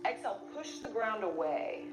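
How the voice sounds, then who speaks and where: A woman yoga instructor leading a class, giving calm step-by-step cues with pauses between them. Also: medium pitch. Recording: roomy echo, music behind. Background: music.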